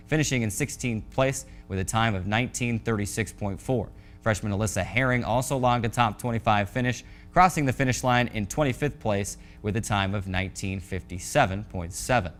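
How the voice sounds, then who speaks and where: An American man hosting a sports show, reading a scripted recap of scores in a polished announcer delivery. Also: medium pitch. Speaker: sports show host and play-by-play voice.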